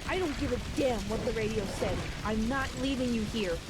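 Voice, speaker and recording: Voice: normal speed, natural voice. An amateur actor playing a determined, earnest disaster survivor who is rescuing the injured, in an audio drama. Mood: defiant. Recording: very loud rain and rumble over voice.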